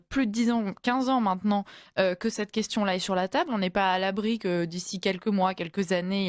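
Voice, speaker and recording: Woman radio host, measured questions, mid-pitched voice, dry close sound. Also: darkish tone, dry recording. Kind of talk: radio interview.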